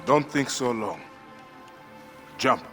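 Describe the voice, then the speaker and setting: An actor playing a steady, protective warrior father in a video game, firm and commanding yet coaxing. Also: medium pitch, takes it slowly, faint music behind. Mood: gentle coaching. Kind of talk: dramatic video game acting.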